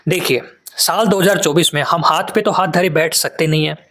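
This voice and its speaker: A man's voice: fairly high pitch, fairly quick, fairly bright tone. An Indian man speaks as an exam preparation coach addressing students. His manner is earnest and instructive, and he builds his advice through repeated lists to drive each point home. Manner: earnest, instructive, repetitive listing for emphasis. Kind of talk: advice talk to students, mixing Hindi with English.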